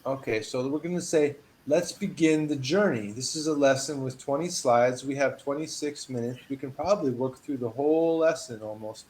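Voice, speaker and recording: A man teaching a one-on-one English lesson over a video call, patient and encouraging, reading model phrases with lively emphasis. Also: medium pitch, normal speed, few pauses, slight background noise. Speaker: English tutor.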